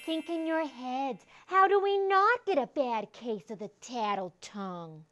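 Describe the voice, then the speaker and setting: A woman acting as an expressive schoolteacher talking to her young class in an exaggerated, theatrical way during a read-aloud skit. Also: high voice, unhurried, noisy background. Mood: playful.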